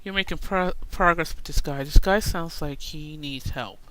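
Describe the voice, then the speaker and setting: A woman's voice: deep voice, moderate pace, sharp tone, poor audio. Chatty woman doing casual let's-play commentary. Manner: chatty, teasing asides to game characters. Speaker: gaming video host.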